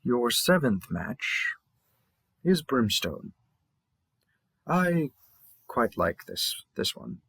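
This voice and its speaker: A man playing a cheerful dating-app host in a scripted roleplay, presenting matches with theatrical emphasis and a husky voice. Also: mid-range voice, big pitch swings, drawn-out words, pauses often, a bit bright, dry recording, studio quality, heavily acted. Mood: fond, encouraging. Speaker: matchmaking announcer. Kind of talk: scripted roleplay audio narration.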